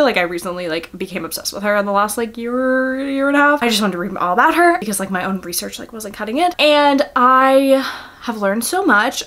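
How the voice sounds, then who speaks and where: A woman's voice: mid-range voice, fairly bright tone. A young woman talking casually as a book vlogger, in a rambling, self-deprecating, giggly manner. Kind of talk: casual chatty vlog.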